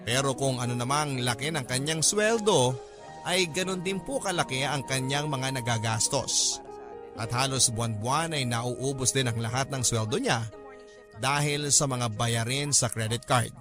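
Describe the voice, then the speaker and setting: A man's voice: mid-range voice, moderate pace. A man with a Filipino accent reading a scripted first-person story as the narrator of a radio drama. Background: music.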